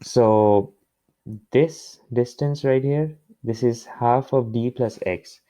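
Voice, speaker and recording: A man working through a problem step by step as a tutor, in a halting way with pauses and repeats. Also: medium pitch, warm tone, dry recording. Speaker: physics tutor. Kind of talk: explaining a worked problem step by step. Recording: dull, muffled lo-fi close recording.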